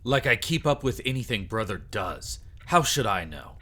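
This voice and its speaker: A man's voice: on the low side, lively intonation, bright, forceful tone. Man playing an insolent, defiant vampire son. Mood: defiant, scornful. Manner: scoffing, slow, dismissive. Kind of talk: audio roleplay drama acting.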